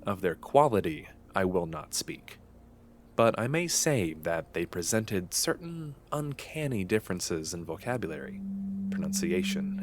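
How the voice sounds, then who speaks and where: An actor playing a brooding, obsessed young man who narrates his morbid secrets in solemn, archaic, literary language, in a gothic horror audio drama. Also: low-pitched. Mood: brooding, hushed awe. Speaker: narrator and lead character.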